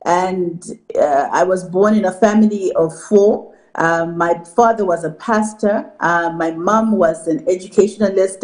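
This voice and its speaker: A woman's voice: mid-range voice, a little mellow, poor audio. Articulate woman from Zimbabwe. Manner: articulate, measured, with restarts. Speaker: guest, marketing executive. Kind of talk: TV interview answers.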